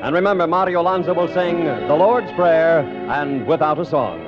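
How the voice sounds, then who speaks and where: A man's voice: fairly high pitch, lively intonation, moderate pace. An American man announcing on a vintage radio show in a polished, emphatic, scripted sales style. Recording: dull old radio broadcast, music behind. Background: orchestral music.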